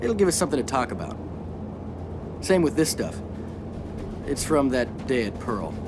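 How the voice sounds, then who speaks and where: An actor playing a determined navy captain with a dry humor, narrating game cutscenes. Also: male voice, medium pitch, sing-song, moderate pace, over music, close to an everyday voice.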